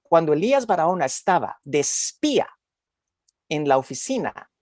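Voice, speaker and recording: A man's voice: on the high side, big pitch swings, moderate pace, darkish tone, no room sound, studio quality. An older man interviewing conversationally, giving long emphatic commentary and repeating phrases. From Guatemala. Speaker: interviewer, academic.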